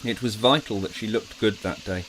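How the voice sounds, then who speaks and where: A man's voice: on the low side, normal speed, fairly bright tone, a little hiss or hum, rough recording. A man narrating a scripted audiobook drama in a theatrical, emphatic storytelling manner.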